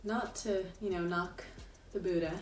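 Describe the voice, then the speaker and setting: A young adult woman speaking thoughtfully about her tarot practice, with many ums and pauses. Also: on the low side, fairly even intonation, far from the mic. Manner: soft-spoken, thoughtful, many ums and you-knows. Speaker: tarot reader and interviewee. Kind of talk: reflective personal talk. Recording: roomy sound, voice set back from the mic, clear music behind.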